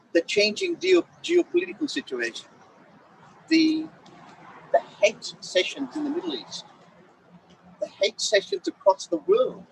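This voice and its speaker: A man's voice: very high voice, vocal fry. A man giving a formal speech at an event, emphatic and rhetorical, often repeating his phrases. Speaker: speaker at a commemorative event. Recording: voice heard from a distance.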